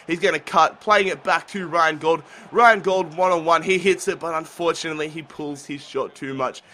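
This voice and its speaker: A young man commentating his football video game play while sick with the flu, talking casually and getting excited at chances. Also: on the high side, normal speed. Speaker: gaming commentator.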